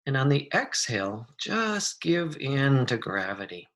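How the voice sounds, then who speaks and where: Meditation guide, medium pitch: soft-mannered, soothing, unhurried, leaving long pauses between gentle instructions. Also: big pitch swings.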